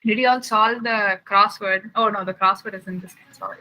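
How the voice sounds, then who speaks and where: Young woman science camp instructor from Chennai, friendly questioning tone, bright tone, laptop mic on a call. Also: mid-range voice, steady tone, rough recording. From Chennai, India. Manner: chatty, asks the children many quick questions. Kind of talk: casual lab tour and chat with children over a video call.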